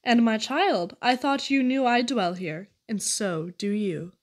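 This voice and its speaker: Woman reading kids poems out loud, high voice, really expressive, takes her time. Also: unhurried. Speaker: poetry reader. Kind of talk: expressive children's poetry reading.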